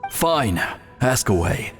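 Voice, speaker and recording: A man's voice: fairly low pitch, sing-song, takes it slowly, gravelly, a bit bright, long reverb tail, soft background music, heavily acted. A man playing an earnest, deferential young male shaman disciple in a video game, speaking in a defensive, explanatory way. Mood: guarded, defensive. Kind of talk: English video game voice acting.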